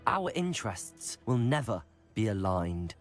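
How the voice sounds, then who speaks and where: A man's voice: on the low side, big pitch swings, a little slow, soft background music. A man playing a resolute young wizard student in a video game, speaking in an earnest, polite, controlled way. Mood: defiant.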